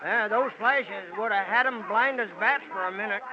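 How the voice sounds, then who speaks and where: An actor playing a friendly, folksy country local with a rural American drawl in a 1940s radio drama. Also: male voice, sits high, normal speed, close to an everyday voice. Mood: excited. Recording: dull, noisy old radio recording.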